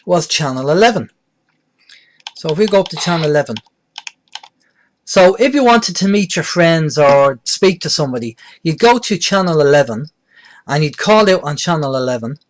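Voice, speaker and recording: Middle-aged male presenter, slightly high-pitched voice, plain-spoken delivery for an informal hands-on how-to. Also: lively intonation, normal speed. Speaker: presenter of a beginners' radio tutorial. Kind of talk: informal step-by-step tutorial.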